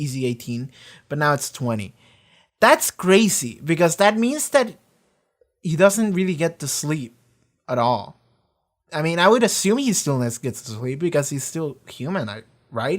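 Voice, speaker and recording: A reaction video host in a casual, rambling discussion, often talking loudly, swearing freely and filling pauses with lots of "like" and "um". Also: mid-range voice. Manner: swears freely, many fillers and restarts; often talks loud.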